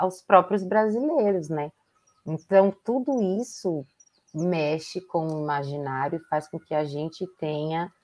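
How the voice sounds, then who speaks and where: Calm woman, podcast guest. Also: on the low side. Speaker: guest author. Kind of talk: casual podcast interview.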